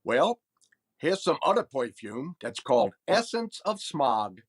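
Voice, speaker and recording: A blunt working-class male counter clerk, with a fairly high voice. He delivers everything deadpan and plain-spoken, in old-time radio comedy manner. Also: unhurried, husky, full character voice. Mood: deadpan salesmanship.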